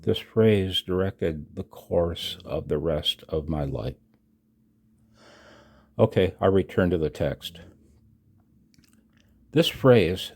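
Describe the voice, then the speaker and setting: Older American male, 60s, deep and unhurried, reading a personal statement for a hobby vlog with emphatic, dramatic delivery. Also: a little mellow. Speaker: amateur radio vlogger. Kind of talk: reading own written announcement aloud with asides.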